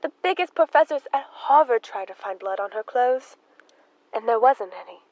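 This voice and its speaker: A fretful, loyal spinster sister from a radio play, acted by a woman. Her voice is high and swings widely as she flutters, pleads and bristles in defense. The sound is dry and close. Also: lively intonation, moderate pace, darkish tone, no room sound, muffled recording, lightly acted. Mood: defensive. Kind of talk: theatrical radio drama acting.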